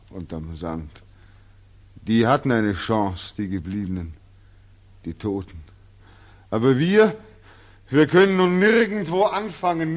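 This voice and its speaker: Man acting young war returnee, bitter and desperate, brisk delivery, 1940s radio play acting, weary anguish. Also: lowish voice, darkish tone, close to an everyday voice. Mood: bitter sarcasm. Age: young adult. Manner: terse and weary, flaring into anguished pleading. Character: bitter, desperate 25-year-old returned soldier. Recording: 1940s radio drama recording.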